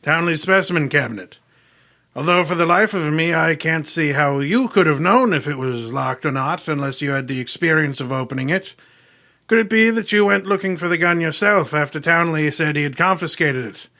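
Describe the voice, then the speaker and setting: A man's voice: fairly high pitch, normal speed, sharp tone. A man playing a pompous, erudite, gluttonous genius detective in a grandiloquent, theatrical old-time radio style. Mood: accusing, triumphant.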